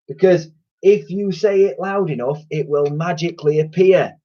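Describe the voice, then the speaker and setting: A man's voice: on the high side, normal speed, pitched above the performer's own voice, lightly acted. A man voicing a bold, cheeky young boy in a storybook reading. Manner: bold, bossy. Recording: dull lo-fi recording.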